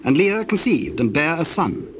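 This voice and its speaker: A man narrating scripture as a formal, measured storyteller in a scripted dramatization. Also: a little high, sing-song. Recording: clear background noise, birdsong and doves.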